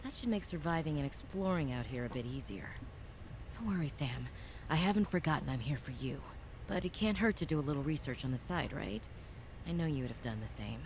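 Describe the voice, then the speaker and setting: Wry woman explorer, lowish voice. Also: expressive, fairly quick, darkish tone, a little hiss or hum. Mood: keen, then tender, determined. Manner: wry, conversational. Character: young woman xenobiologist explorer, wry and determined. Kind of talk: game voice acting, inner monologue.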